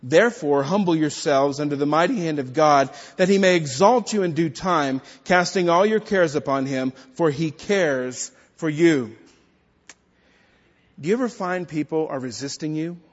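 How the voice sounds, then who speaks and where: Male pastor, measured sermon delivery with pointed asides, muffled recording in a reverberant sanctuary. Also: on the high side. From Texas. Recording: dull lo-fi sound in a reverberant hall.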